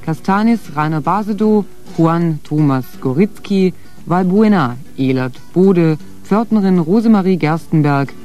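A man's voice: on the high side, darkish tone. A man formally reading out scripted closing credits as a radio announcer.

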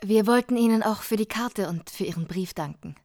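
Actress as earnest, polite wife, dry sound. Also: female voice, medium pitch, a little fast, darkish tone, no echo, lightly acted. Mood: politely apologetic. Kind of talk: radio drama acting.

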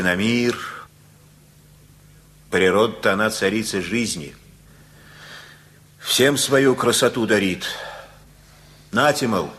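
An aging father in a radio play, once gruff and now softened. His voice is on the low side and he takes his time, very unhurried. He muses in homely, repetitive phrases with a wistful air. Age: older. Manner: folksy, rambling, reflective, repeats phrases.

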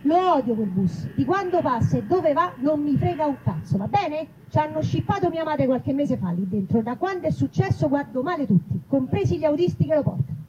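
A woman's voice: high-pitched, very animated, a little fast, hardly any pauses. A woman playing a blunt, grumpy, distrustful woman waiting for a bus, in a live reading. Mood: irritable. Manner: blunt, irritable. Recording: muffled live recording with noise.

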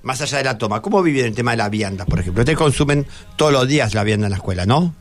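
A man's voice: mid-range voice, animated, racing, soft background music. A man with an Argentine accent from Buenos Aires hosting a live radio interview, conversational and prompting with questions. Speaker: radio host.

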